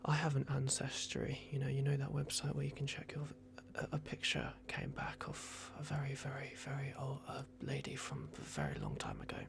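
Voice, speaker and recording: A man in an ASMR roleplay, playing a polite, flustered vampire at a clinic in a hushed, hesitant, apologetic way. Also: mid-range voice, lively intonation, brisk, gravelly, few pauses, noisy background.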